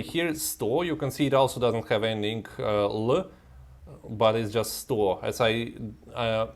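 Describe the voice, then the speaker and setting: A man teaching a language lesson, reading word pairs and explaining exceptions in non-native English with frequent restarts. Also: medium pitch. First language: not Serbian. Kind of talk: vocabulary lesson with examples.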